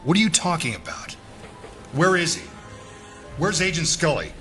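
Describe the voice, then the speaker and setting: A man playing a gruff, indignant senior FBI boss in an audio drama, blunt and demanding. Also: on the high side, wildly swooping pitch, normal speed, a bit bright, faint music behind.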